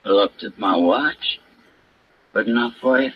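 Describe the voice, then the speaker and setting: A man's voice: fairly low pitch, unhurried, slightly rough. A man playing a surly, defensive American ex-convict suspect in a 1950s radio crime drama, curt and sullen. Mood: defensive, irritated. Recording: muffled vintage radio recording, faint noise.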